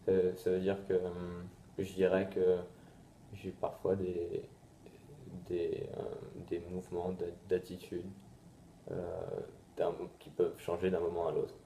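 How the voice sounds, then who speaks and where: Brief: deep, gravelly, dark-toned young man from France, slow and flat, echoey room. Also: a little hiss or hum. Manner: hesitant, reflective, many fillers. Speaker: interviewee. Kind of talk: casual self-introduction.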